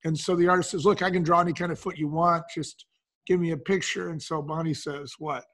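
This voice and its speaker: An older man with a fairly high voice speaks as an interview guest. He tells stories in a conversational way and voices the quotes of the people in them. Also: steady tone, brisk, a bit bright. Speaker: podcast guest, brand co-founder.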